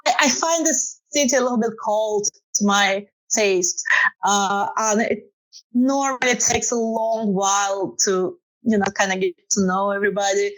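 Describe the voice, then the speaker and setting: A woman's voice: mid-range voice, low-quality recording. A woman with a Russian accent, a photographer answering interview questions casually, with many fillers and frequent laughter. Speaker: photographer guest.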